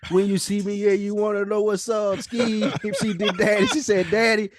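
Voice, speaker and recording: A man's voice: high voice, steady tone, moderate pace, a crowd in the background. A man co-hosting a podcast, chatting casually with blunt, profane slang and prodding questions. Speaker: podcast co-host. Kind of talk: casual chat.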